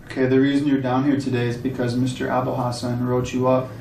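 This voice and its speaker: A man plays a stern but fair school administrator in a dramatization. His delivery is extremely monotone and official, with gravelly fry. Faint room noise sits behind him. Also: mid-range voice, fast, close to an everyday voice, rough recording. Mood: stern, measured. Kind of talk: school role-play dramatization.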